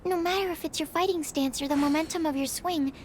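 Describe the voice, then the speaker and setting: A woman's voice: high-pitched, fast, slight background noise, natural voice. A woman voicing an earnest young female alchemist in a video game, formal and polite, stammering when flustered. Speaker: game character. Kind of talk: video game cutscene dialogue. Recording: game audio with music behind.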